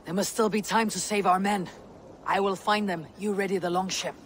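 An actor playing a bold, brooding young Viking warrior in a video game, terse and formal. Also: male voice, sits high, fairly even intonation, moderate pace, a little hiss or hum, natural voice. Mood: bold, commanding. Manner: terse, formal, archaic phrasing. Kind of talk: dramatic game cutscene acting.

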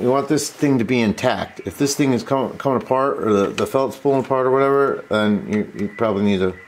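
Rambling man, noisy recording. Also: mid-range voice. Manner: rambling, self-interrupting. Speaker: host of a repair tutorial. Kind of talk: casual hands-on tutorial talk. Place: indoor workbench. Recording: noticeable steady background noise.

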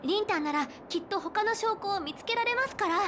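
High-pitched, polite young anime woman. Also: lively intonation, a little fast, fairly bright tone, slight background noise. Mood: flustered, consoling. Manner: polite, formal, careful phrasing. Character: polite, earnest, studious young female intelligence officer. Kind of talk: Japanese anime game voice acting. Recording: clean studio voice over game music.

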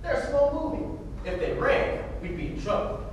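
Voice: high voice, lively intonation, moderate pace. A performer playing a take-charge survivor in a puppet horror parody. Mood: bossy. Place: puppet show stage in small dark theatre. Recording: noisy echoey hall from far off.

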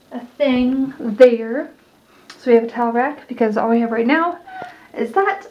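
A young woman with a fairly high voice chats her way through a house tour, rambling and breaking off into distracted asides. She is filmed on a handheld phone at arm's length as she walks around indoors. The audio is dull and muffled, with clear handling noise. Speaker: vlogger. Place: house, indoors, walking room to room. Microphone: handheld phone camera at arm's length.

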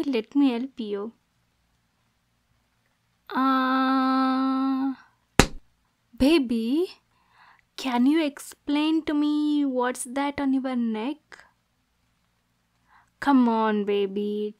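Casting: woman to play jealous yandere girlfriend for ASMR roleplay; high voice, slow delivery, menacing yet cooing, possessive. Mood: cooing, suspicious. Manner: swings between soft cooing and angry, degrading outbursts. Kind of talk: ASMR roleplay, dramatic monologue.

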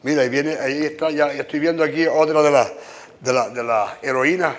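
A man in his fifties with a Canarian Spanish accent, hosting a casual chat in halting, repeated phrases. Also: a little high, steady tone. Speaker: host. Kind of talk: casual chat before an audience. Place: busy wooden tavern with seated audience. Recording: live venue with clear background noise.

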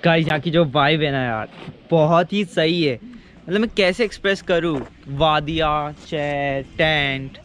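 A man's voice: fairly high pitch, unhurried, fairly bright tone, slight background noise. A young man chatting enthusiastically to viewers as he tours a hillside campsite, filming himself at arm's length outdoors. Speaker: travel vlogger. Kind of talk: casual travel vlog narration. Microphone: handheld camera at arm's length.

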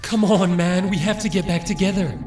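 A man's voice: high-pitched, fairly even intonation, moderate pace, lightly acted. A man voicing an anxious, pleading jester monster in a video game. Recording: echoey, faint music behind.

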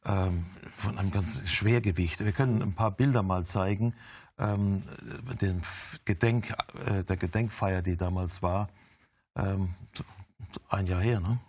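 Older man, low voice, measured host, explanatory, um-heavy, TV studio, lapel mic. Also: a little mellow, husky, dry recording. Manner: measured, explanatory, with many ums. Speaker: TV interview host. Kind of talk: studio interview.

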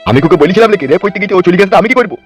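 A man plays a cantankerous old husband from a comedy cartoon. He bickers gruffly at great speed, in a voice pitched fairly high for a man, with music clearly behind him. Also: rapid-fire, close to an everyday voice. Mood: defensive, annoyed. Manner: gruff, quarrelsome retorts. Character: irritable, foul-mouthed old bald husband. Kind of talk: amateur comedy cartoon dialogue. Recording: music bed behind dialogue.

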